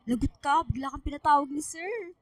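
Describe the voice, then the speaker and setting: A woman's voice: high voice, a little fast, no echo, lightly acted. A woman playing a chatty, teasing teenage schoolgirl friend in a Filipino radio drama.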